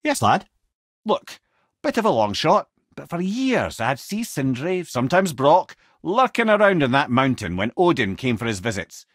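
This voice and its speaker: An actor playing a witty, learned old companion in a video game, with a Scottish accent, a husky voice and a wry, eloquent, theatrical way of talking. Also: male voice, mid-range voice, sing-song, moderate pace, no echo, clean studio sound. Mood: wry, animated. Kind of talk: video game character dialogue, lore storytelling.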